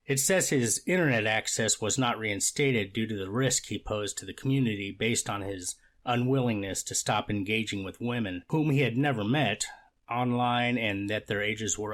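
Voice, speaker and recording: A man playing a deliberate, fact-minded judge in a courtroom readers theater, speaking in a formal, measured and slightly halting way. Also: fairly low pitch, fairly bright tone, natural voice. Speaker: judge reading and questioning counsel.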